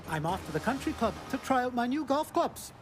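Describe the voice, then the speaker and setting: A woman's voice: a little high, very animated, a little fast, music in the background. A woman voicing a smug, gullible, blustering male golfer in a children's cartoon.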